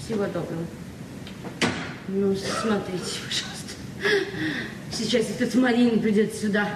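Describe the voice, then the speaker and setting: A woman's voice: mid-range voice, moderate pace, close to an everyday voice. An actress in a radio play as a naive, talkative librarian in her forties, speaking hesitantly and repeating herself. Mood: apologetic, hesitant. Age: 40s. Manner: flustered, hesitant, chatty, repeating words. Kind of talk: naturalistic Soviet radio drama acting. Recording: echoey, distant, noisy 1970s radio recording.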